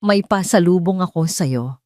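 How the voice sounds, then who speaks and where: A woman's voice: on the low side, measured pace, darkish tone, no echo, clean studio sound, natural voice. A woman narrator playing a loving, anxious father in an audio story, speaking tenderly and with emotion. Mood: emotional, hopeful.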